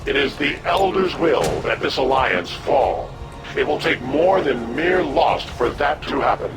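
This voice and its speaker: Solemn, declamatory warrior, male, highish and lively intonation, loud music behind. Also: measured pace. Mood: proud, resolute. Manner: formal, declamatory. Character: proud, solemn alien-fighting warrior, stern and formal. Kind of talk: dramatic game dialogue.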